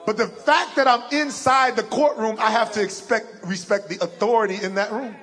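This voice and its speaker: Animated young preacher with a deep voice. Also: male voice, normal speed, some chatter behind. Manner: animated storyteller, acts out quotes. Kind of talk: sermon with personal story.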